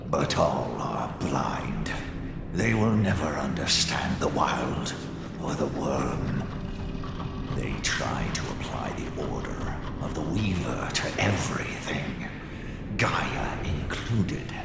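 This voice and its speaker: An actor playing an ancient, menacing wolf guardian spirit in a video game, solemn and judgmental, with a breathy rasp. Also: male voice, very deep voice, sing-song, heavily acted. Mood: scornful. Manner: slow, solemn, accusatory. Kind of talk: scripted video game acting. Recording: echoing voice amid loud ambient noise.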